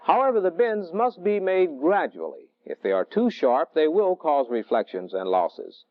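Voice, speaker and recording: Male technical instructor, middle-aged, fairly high voice with varied intonation, measured teaching delivery, old training-film sound. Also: sing-song, no room sound. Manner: deliberate, didactic, measured phrasing. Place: indoor training film studio. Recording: dull, dry vintage film soundtrack.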